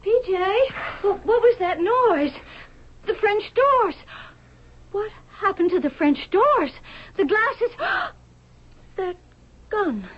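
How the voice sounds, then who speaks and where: An actress playing a put-upon showgirl wife in an old-time radio drama, with a halting, flustered way of talking. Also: extremely high pitch, very animated, exaggerated voice. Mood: frightened, bewildered. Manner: halting, breathless repetition. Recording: dull lo-fi radio broadcast recording.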